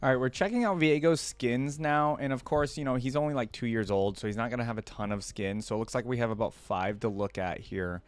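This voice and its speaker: A man's voice: medium pitch, brisk, hardly any pauses, smooth. A man giving casual reaction commentary as a video host, rambling and thinking aloud.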